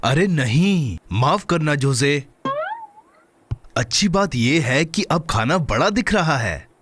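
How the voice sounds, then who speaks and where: Lively, patient cartoon helper. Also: male voice, a little high, slow, slight background noise. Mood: apologetic. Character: caring, sensible male friend and minder, patient. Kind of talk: children's cartoon dub.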